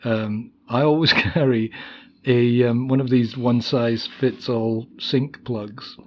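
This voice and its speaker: A man's voice: mid-range voice, fairly even intonation, highs cut off. A man hosting a podcast, chatting casually and laughing easily as he draws out his guest. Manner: chatty, quick to laugh, many short backchannels. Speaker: podcast host. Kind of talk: casual interview chat.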